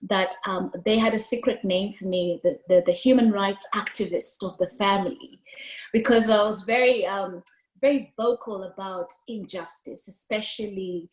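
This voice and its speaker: A woman from Kenya speaks with a Kenyan accent, her first language Swahili. She tells her life story in a lively, expressive way, talking with her hands. Her voice sits mid-range and comes through a video call with a roomy echo. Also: mid-range voice, normal speed. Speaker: guest speaker. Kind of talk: storytelling interview answer. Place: room at home, indoors.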